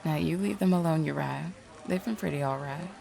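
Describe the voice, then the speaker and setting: A woman's voice: low voice, brisk, vocal fry, warm tone. A woman playing a flirtatious, self-assured detective and seer in an audio roleplay, playful and sly as she teases. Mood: breezy. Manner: playful, sly, drawling endearments. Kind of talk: audio roleplay drama acting.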